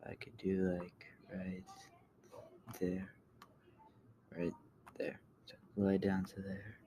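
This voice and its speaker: A man giving a casual software tutorial, thinking aloud in a halting way and often trailing off. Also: low voice, monotone. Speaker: tutorial host. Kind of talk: casual screen-recorded coding tutorial. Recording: clear background noise, keyboard clicks.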